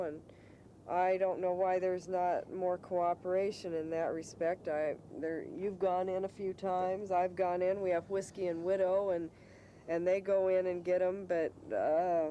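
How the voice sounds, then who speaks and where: A woman's voice: fairly low pitch, dark tone, a little hiss or hum, low-quality recording. A woman speaking casually in an interview, plain-spoken and matter-of-fact about local life. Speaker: local resident being interviewed. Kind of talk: casual conversation.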